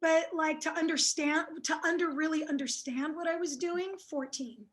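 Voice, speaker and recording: A woman with a high voice, raised speaking French and Italian, answers interview questions as a psychic guest. She sounds shy and hesitant and fills her answers with ums and restarts. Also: steady tone, normal speed, a bit bright. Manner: shy, hesitant, many fillers. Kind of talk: casual interview chat.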